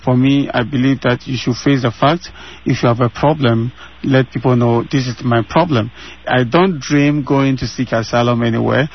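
A man's voice: medium pitch, steady tone, normal speed, slightly rough. A Ghanaian man in his thirties answers interview questions with earnest conviction, hammering his points home by repeating phrases. His voice sits mid-range for a man. The sound is a little dull, as if it comes over a remote line from his home. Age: 30s.

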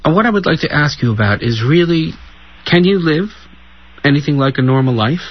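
A male radio presenter introducing a documentary segment and asking measured, inquisitive questions. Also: fairly high pitch, expressive, slightly rough, dull audio.

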